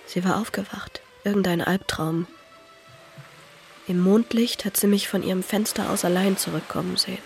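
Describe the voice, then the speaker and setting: A woman's voice: mid-range voice, lightly acted. An actress playing a cold, secretive teenage girl in a radio drama, matter-of-fact and at times whispery.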